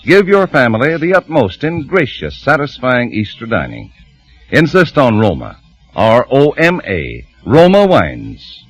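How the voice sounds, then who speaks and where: A man reads as a 1940s radio announcer. His medium-pitched voice swings expressively and lands words with polished emphasis, close and dry over faint music. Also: huge pitch swings, a little slow, breathy edge, dry recording.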